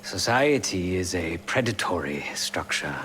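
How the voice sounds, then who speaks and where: A man's voice: deep voice, expressive, a little slow, fairly bright tone, slight background noise. A man playing a courtly, remorseful former secret policeman turned hacker in a video game, formal and philosophical with a wry edge. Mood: grave, brooding. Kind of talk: video game dialogue acting.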